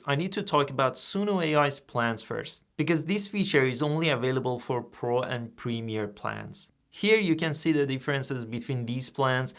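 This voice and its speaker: Man explaining software step by step. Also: mid-range voice. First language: Persian. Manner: plain, steady step-by-step explaining. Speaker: tutorial host. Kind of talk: screen-recorded software tutorial.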